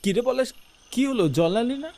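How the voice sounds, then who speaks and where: A man puts on an excitable young man in a horror cartoon, loyal and puzzled. The voice is pushed up high, with faint night noise behind it. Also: moderate pace. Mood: puzzled questioning.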